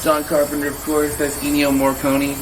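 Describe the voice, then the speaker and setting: A man's voice: fairly high pitch, monotone, brisk. A man speaking in a casual, joking way. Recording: echoey, far from the mic, loud noise.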